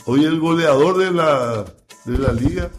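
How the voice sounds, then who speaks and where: A man's voice: a little high, unhurried, faint music behind. Rambling radio host man. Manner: relaxed, rambling, many fillers and self-restarts. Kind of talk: casual radio chat.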